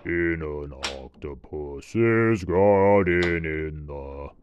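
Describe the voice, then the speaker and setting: A man voicing a big gentle humpback whale who sings in a slow baritone, for a children's bedtime story. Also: lo-fi sound, a little hiss or hum, full character voice. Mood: slow singing. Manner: slow, drawn-out singing.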